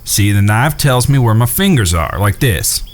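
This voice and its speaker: A man playing a steady, watchful gunslinger in an audio drama, with plain, folksy speech. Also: fairly low pitch, moderate pace. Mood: instructing. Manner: plain-spoken, folksy cowboy talk. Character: steady, watchful blind gunslinger brother, patient teacher. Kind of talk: western audio drama acting.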